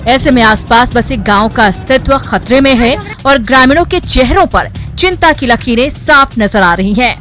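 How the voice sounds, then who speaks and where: High-voiced woman reading news. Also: normal speed, hardly any pauses, soft background music. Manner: formal, steady news read. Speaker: news anchor. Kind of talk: scripted news narration.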